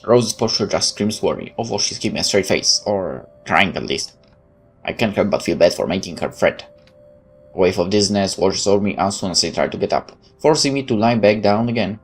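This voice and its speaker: A man's voice: deep voice, expressive, fairly quick, breathy edge. A man hosting a let's play, reading a visual novel aloud and adding casual off-the-cuff commentary. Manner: off-the-cuff remarks between read passages.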